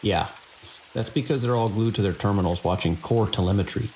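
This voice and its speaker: A man playing a seasoned, wry security chief in an audio drama, with a measured, world-weary, procedural delivery and a gravelly voice. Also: fairly low pitch, darkish tone, lightly acted. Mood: firm. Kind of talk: audio drama dialogue and log monologue. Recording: faint hiss and rain-like noise.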